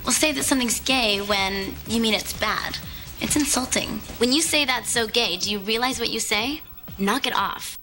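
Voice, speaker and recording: Actress as scolding young woman, fairly high voice, firm, admonishing, scripted spot, clear music behind. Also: normal speed, natural voice, rough recording. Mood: reproving.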